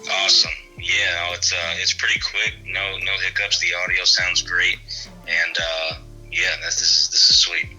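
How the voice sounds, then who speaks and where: A man chatting casually and a little haltingly, heard as a walkie-talkie message from a small watch speaker. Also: low-pitched, little pitch movement, pushed and bright, slightly rough, faint music behind, low-quality recording. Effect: walkie-talkie through small watch speaker. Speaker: viewer helping demonstrate. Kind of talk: casual chat in short bursts. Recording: voice played from tiny watch speaker.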